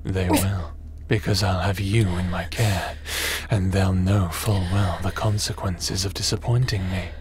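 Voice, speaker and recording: Man playing a slow, menacing, low-voiced villain. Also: steady tone, a little mellow, slight background noise. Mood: smug, menacing. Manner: slow, taunting, gloating delivery. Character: menacing, power-hungry male villain, scheming and smug. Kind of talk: sci-fi audio roleplay acting.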